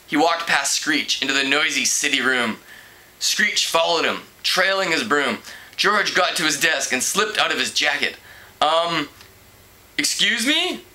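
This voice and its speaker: A man reads a story aloud as an amateur narrator. His voice is strikingly bright and forceful and sits a little higher than most men's. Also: normal speed, rough recording. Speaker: amateur book reader.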